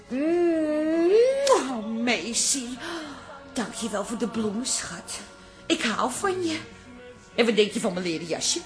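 A woman's voice: sits high, full character voice. An actress playing a feisty, defiant and playful woman, brash in a comic radio play. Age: 40s. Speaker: radio drama actor.

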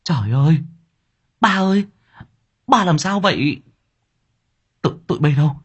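A man's voice: a little high, expressive, normal speed, fairly bright tone, slightly rough, voice pushed up. A man voicing a frantic middle-aged woman, calling out shrilly and pleading for help, on a dull lo-fi recording. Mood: panicked.

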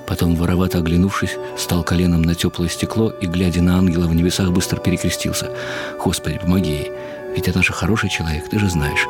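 Deep-voiced older man narrating, music behind. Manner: reflective, unhurried, expressive. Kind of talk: dramatic literary narration for radio.

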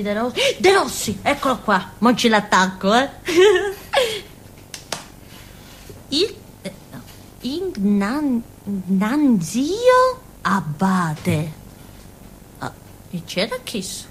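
Naive village simpleton woman, southern italian accent, high voice, slow and halting, excitable, stage monologue. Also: a little hiss or hum, full character voice. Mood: giddy, excited. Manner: childlike, halting, giggly, muttering little asides. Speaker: stage actress. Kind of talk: theatrical monologue, colloquial storytelling.

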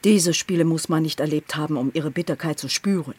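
Radio drama role: gaunt old woman as Death, coldly coaxing, low and commanding, very dry close sound. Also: a little fast, darkish tone, slightly rough, no room sound. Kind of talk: German radio drama acting.

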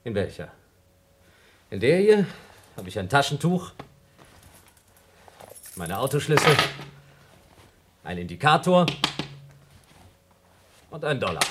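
A man's voice: medium pitch, big pitch swings, takes it slowly, long pauses, slight background noise. A man playing an impulsive, sarcastic astronaut in a German radio play, arguing and accusing his crewmate. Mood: matter-of-fact. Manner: argumentative, sarcastic, swinging from muttering to exclaiming.

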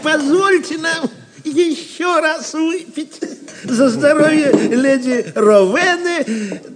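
A man's voice: very high-pitched, slow pace, crowd noise. A man acting a jovial, tipsy, wine-loving banquet guest in a theatrical audio drama.

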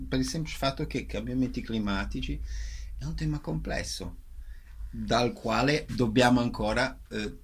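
A man with a gravelly, husky voice explaining things in an interview, haltingly, repeating words as he searches for them. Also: lowish voice, fairly bright tone, a little hiss or hum. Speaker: actor and director presenting a show.

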